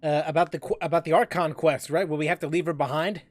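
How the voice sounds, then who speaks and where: A man giving casual, rambling live commentary as a game streamer, halting as he searches for words. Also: a little high, steady tone, no echo.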